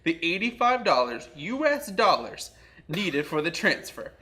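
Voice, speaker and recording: A man's voice: on the high side, big pitch swings, a little slow, sharp tone. A man hosting a comedy show, talking in casual banter and prank-call style with a mock-earnest manner.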